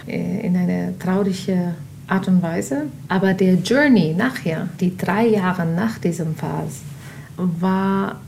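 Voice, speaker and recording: A woman's voice: lowish voice, creaky, darkish tone, a little hiss or hum. A woman, telling her story conversationally, searching for words and slipping into English. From Pakistan, of Afghan family. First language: not German. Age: 20s. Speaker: interview guest.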